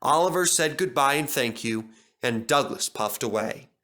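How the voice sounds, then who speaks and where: A man tells a children's story in a dramatic narrating style. His voice is mid-pitched and bright, with very lively intonation. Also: moderate pace.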